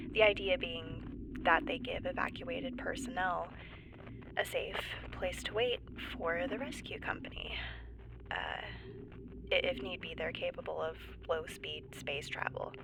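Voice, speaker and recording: A woman playing a nervous, wry stranded geologist in a sci-fi audio drama, halting and breathy, often near a whisper. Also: on the low side, background noise. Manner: halting, awkward, wryly understated. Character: stranded woman, nervous civilian geologist keeping an emergency log. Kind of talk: found-footage sci-fi audio drama monologue.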